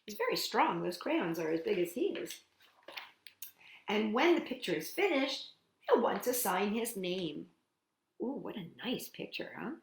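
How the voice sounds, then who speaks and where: A woman hosting storytime, reading a picture book aloud to young children in an animated, playful way with chatty asides. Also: medium pitch, unhurried, vocal fry, reverberant, a little hiss or hum.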